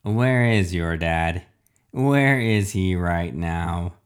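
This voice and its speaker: A man voicing a menacing refrigerator robot villain in a storybook, speaking slowly and deliberately with cold threat. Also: low-pitched, clear, resonant tone.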